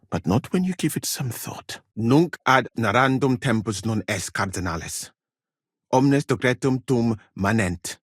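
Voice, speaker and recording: A man playing a courteous, learned foreign physician in a medieval video game, speaking in measured, formal phrases with calm thoughtfulness. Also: lowish voice, breathy edge, no room sound, clean studio sound, full character voice.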